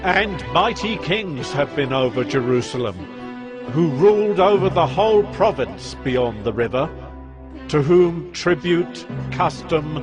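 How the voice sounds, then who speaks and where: Stern ancient king, regal and commanding, very slow delivery, biblical audio drama over music. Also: on the high side. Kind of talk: dramatized scripture reading. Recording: music behind the voice.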